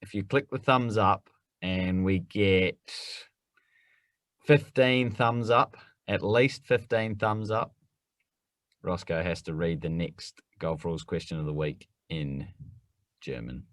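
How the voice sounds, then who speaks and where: A man co-hosting a golf rules podcast, explaining quickly and teasing his partner in casual chat. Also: low voice, no room sound. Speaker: podcast co-host.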